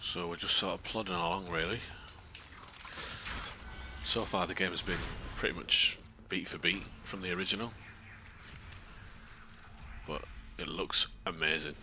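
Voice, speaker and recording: Deep-voiced, subdued British man streaming. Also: fairly even intonation, a little slow, long pauses, sharp tone, a little hiss or hum. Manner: subdued, keeping his voice down. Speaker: game streamer. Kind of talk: casual live playthrough chat. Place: home, next to a bedroom.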